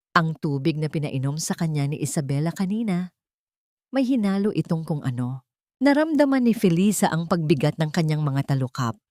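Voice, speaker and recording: A woman's voice: low-pitched, a little mellow, dry recording, clean studio sound. A woman reading a scripted dramatic audio story as its narrator.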